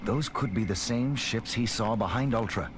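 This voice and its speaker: A man's voice: on the low side, normal speed, background noise, natural voice. A man acting in an audio drama as a decisive space base commander, with authoritative, clipped delivery. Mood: brisk, serious. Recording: loud dramatic music and engine effects behind.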